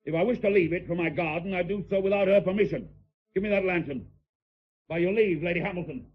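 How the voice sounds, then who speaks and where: Imperious, high-strung raging admiral. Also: male voice, fast, husky, muffled recording. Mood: defiant, cold. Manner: shouting, commanding, indignant. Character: enraged, imperious British admiral and uncle. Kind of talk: radio drama acting.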